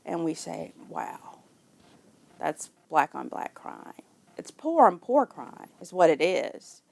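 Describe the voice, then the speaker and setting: Creaky-voiced woman, deliberate and serious. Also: low voice, sing-song, darkish tone. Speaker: interviewee. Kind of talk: serious documentary interview.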